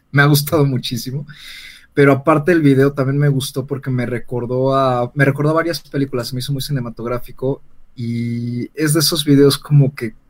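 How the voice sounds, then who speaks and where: Male podcast panelist, medium pitch, conversational, searches for words as stories unspool. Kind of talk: casual chat.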